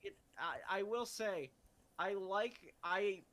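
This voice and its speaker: A man's voice: sits high, slow, a bit bright. A man chatting casually and bluntly after a group voice-acting session, swearing freely. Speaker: amateur voice actor. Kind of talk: casual post-show chat.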